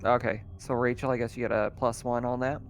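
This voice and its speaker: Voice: medium pitch, little pitch movement, fairly quick, slight background noise. A person casually running a tabletop roleplaying game as game master, easygoing and often chuckling.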